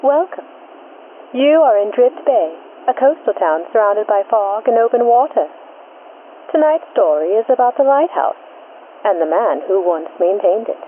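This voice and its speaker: A woman's voice: fairly high pitch, sing-song. Tinny old-radio woman narrating suspense. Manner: measured, deliberate, short suspenseful phrases. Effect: old-time radio filter. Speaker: host and narrator of a mystery show. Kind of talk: scripted suspense narration in the manner of 1940s radio. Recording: tinny band-limited vintage radio, static noise.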